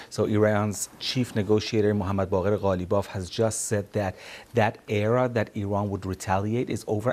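A journalist gives news analysis in an interview in a fairly low male voice. He explains carefully and often repeats words as he gathers his thoughts. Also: darkish tone.

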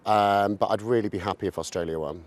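Quick, low-voiced English man. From southern England. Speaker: fan being interviewed. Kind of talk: casual chat.

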